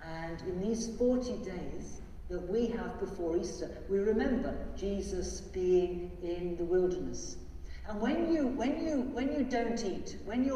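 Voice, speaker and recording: An older male priest leading prayers, then talking gently and simply to children. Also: high voice, a little hiss or hum. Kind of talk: liturgical prayer and children's address. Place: large church. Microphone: lectern mic, far from camera. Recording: echoey church from far off.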